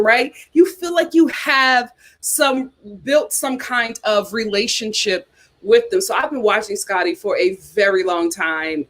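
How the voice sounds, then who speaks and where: A young woman hosting a casual livestream, chatting and reading viewer comments, repeating herself as she talks. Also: mid-range voice. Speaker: YouTube host.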